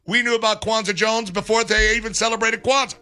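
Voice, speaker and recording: A man's voice: sits high, steady tone, pushed and bright, soft background music. A man hosting sports radio, bantering with his co-host in a teasing, joking way. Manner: teasing, quick comic jabs.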